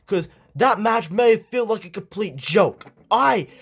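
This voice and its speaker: A man's voice: sits high, expressive, slow, gravelly, a bit bright, husky, voice pushed up, exaggerated voice. A man voicing a furious, bitter wrestler. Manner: ranting, indignant. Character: angry male pro wrestler, bitter and boastful. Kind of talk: fan-made wrestling roleplay.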